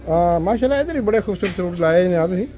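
Fast-talking high-voiced male vlogger. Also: expressive, warm tone. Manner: upbeat, says mashallah often. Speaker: vlogger touring shops. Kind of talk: walkaround shopping vlog chat. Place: busy indoor bazaar.

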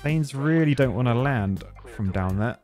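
A man's voice: medium pitch, expressive, normal speed, darkish tone, faint music behind. A British man giving casual, jokey commentary as he plays a game, chatting and thinking aloud as host of the video. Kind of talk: casual live gameplay commentary.

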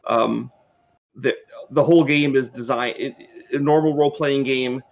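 A man's voice: medium pitch, steady tone, normal speed, creaky, muffled recording. A man in his sixties, a game designer explaining his thinking in a panel discussion over a video call. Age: 60s. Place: book-lined room, video call.

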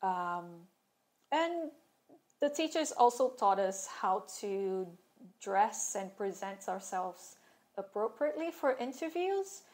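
A woman in her thirties talks to the camera from a desk in a small, plain room. Her delivery is slow and unhurried, candid and wry, with sarcastic asides. Her pitch sits at an ordinary middle height. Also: sing-song, a little mellow. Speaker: vlogger sharing her fashion school experience. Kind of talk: casual talking-head vlog.

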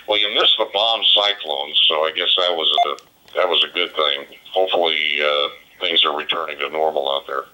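A man chatting casually and haltingly as an amateur operator over a digital two-way radio, heard from a handheld's speaker. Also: a bass voice, fairly even intonation, pushed and bright, rough recording. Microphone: voice played through portable radio speaker.

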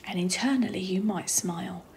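Soft half-whispering woman guiding meditation, noisy recording. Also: fairly low pitch, normal speed. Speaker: meditation guide. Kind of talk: guided meditation, visualisation. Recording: clearly noisy, trickling water ambience.

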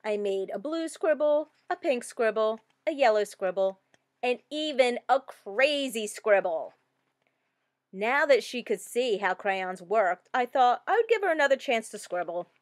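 A woman reading a children's story aloud with expressive, dramatic emphasis. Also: a little high, measured pace, no echo. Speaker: storybook reader.

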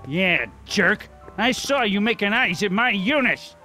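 Belligerent, animated tough guy. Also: sits high, measured pace, faint music behind, exaggerated voice. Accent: American. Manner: confrontational.

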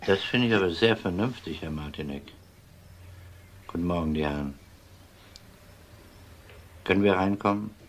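Man acting dry, wry police detective, fairly low. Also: moderate pace, pauses often, close to an everyday voice. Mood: courteous. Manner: dry, politely ironic. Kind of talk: German crime radio drama acting.

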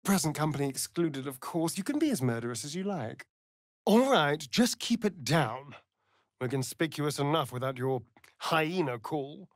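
A man's voice: on the high side, lively intonation, normal speed, dry recording, studio quality, lightly acted. Man playing a theatrical, sardonic vampire rogue, wildly swooping intonation. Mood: sly. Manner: arch, drawling, theatrical. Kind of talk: video game character acting.

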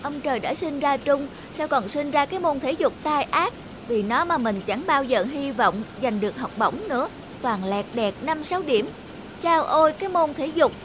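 A woman's voice: high-pitched, animated, moderate pace, noisy background. A woman voicing a bookish, grumbling skinny schoolboy in a cartoon, with a plaintive manner. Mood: complaining. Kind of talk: dubbed cartoon acting.

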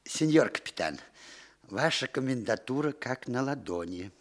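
An actor playing a frightened, evasive small-time informer in a radio drama, stammering and hesitant. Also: male voice, medium pitch, lively intonation, normal speed, close to an everyday voice. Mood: fearful, hesitant. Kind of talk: Soviet radio drama acting.

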